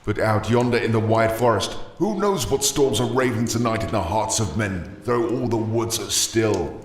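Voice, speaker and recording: Guy playing an earnest old-time missionary priest, low voice, solemn speeches, roomy echo. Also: expressive, slight background noise, lightly acted. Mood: fervent, solemn. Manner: solemn, archaic, measured oratory. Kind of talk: audio drama acting. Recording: roomy echo, music behind.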